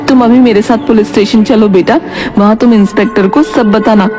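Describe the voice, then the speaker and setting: A woman's voice: on the high side, fairly even intonation, brisk, close to an everyday voice. A woman voicing a concerned, righteous village schoolteacher in a cartoon, earnest and insistent in her speech. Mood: caring, indignant. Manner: earnest, insistent, scolding when crossed. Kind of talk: Hindi moral cartoon voice acting. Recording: music behind the voice.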